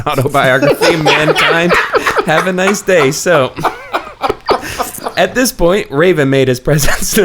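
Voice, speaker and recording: A man's voice: medium pitch, noisy background. A man hosting a podcast, chatting casually about books in a jokey, irreverent way and often laughing through his sentences. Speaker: podcast host. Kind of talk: casual comic book-discussion chat.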